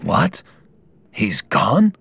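An actor playing a grave, determined ninja hero in a video game cutscene. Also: male voice, fairly low pitch, huge pitch swings, very slow, creaky, background noise, full character voice. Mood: suspicious. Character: stern, resolute male ninja hero.

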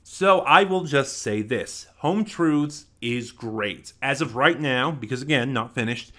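A man's voice: medium pitch, animated, unhurried, fairly bright tone. A man in his twenties giving an unscripted review to camera, thinking aloud and correcting himself as he goes. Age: 20s. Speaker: audio drama reviewer. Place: small plain room at home, indoors. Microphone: desk microphone close in front.

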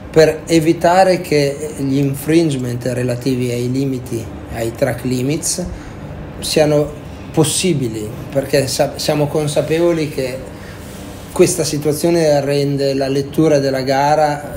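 A man, a team's sporting director, giving a deliberate, formal explanation of new season rules. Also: mid-range voice, a little mellow, a little hiss or hum. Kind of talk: formal statement to camera.

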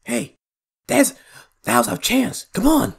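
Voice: medium pitch, expressive, slow pace, creaky, slightly rough. A voice actor performing cartoon skits for an audition reel in a theatrical, exaggerated delivery.

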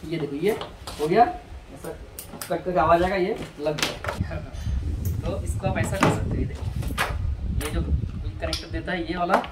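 A man's voice: fairly high pitch, a little slow, pauses often. A man casually presenting a product unboxing, informal and repetitive as he works, recorded outdoors on a porch from a camera a few metres away. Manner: informal, hands-on, repeating himself while handling items. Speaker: product reviewer. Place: outdoor porch by house steps. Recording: roomy, distant mic, clearly noisy, clicks.

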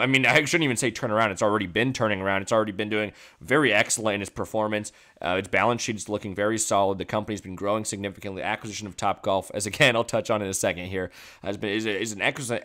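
A man with a stuffy nose talking through financial charts in a casual commentary, quick and loose, with fillers and self-corrections. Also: fairly low pitch, a bit bright. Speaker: stock analysis YouTuber. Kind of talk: casual screen-share commentary.

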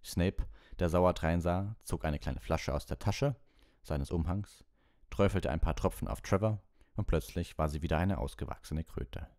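A man with a low voice narrates a storybook. The recording is dry and close, in the manner of an audiobook reading. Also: normal speed, a little mellow, no echo. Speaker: audiobook reader and streamer.

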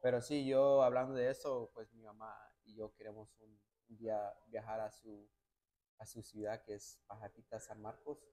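A young man from the United States, a footballer answering interview questions in a hotel lounge, halting and restarting often and slipping English words into his replies. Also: fairly low pitch, little pitch movement, dark tone. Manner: halting, many restarts and "me entiendes" tags, mixes in English words. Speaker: national team footballer, interview guest. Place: hotel lounge sofa, indoors.

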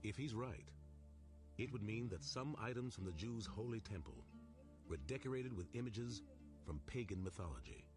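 A man reading scripted documentary narration in a measured, storytelling way. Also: on the low side, lively intonation. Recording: narration over loud music.

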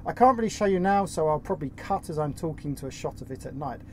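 A man's voice: on the high side, animated, fast talker. A middle-aged man talks keenly and casually about his favourite boat gadgets. He sits outdoors in a sailboat cockpit. A faint outdoor hiss sits under his voice. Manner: enthusiastic, chatty. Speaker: sailing vlog co-host. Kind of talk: casual chat presenting gear.

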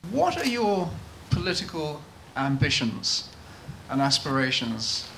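Male audience questioner, bright voice, direct and earnest, theatre seats. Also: on the high side, huge pitch swings, measured pace, a little hiss or hum, rough recording. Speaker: audience member asking a question. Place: audience seating of a large theatre.

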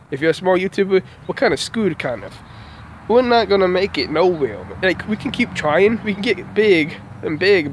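A young man in his mid-twenties vents into a phone held at arm's length outside a house, his voice sitting a little high for a man. He sounds frustrated and dejected, circling back over the same complaints. Faint street noise hums behind him. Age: 20s. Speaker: small vlogger. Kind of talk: casual vlog rant. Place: outdoors beside a house.

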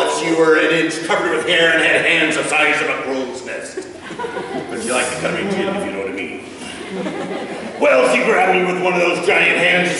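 A salty, boastful pirate telling tall tales on stage. The voice is gravelly and punchy, drawing words out theatrically in broad pirate talk. It is captured from a distance in a very echoey hall. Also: male voice, on the high side, pushed and bright. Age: middle-aged. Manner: hammy, drawn-out yarn-spinning in pirate dialect. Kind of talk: theatrical comic storytelling on stage. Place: small indoor stage before seated audience. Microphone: far camera.

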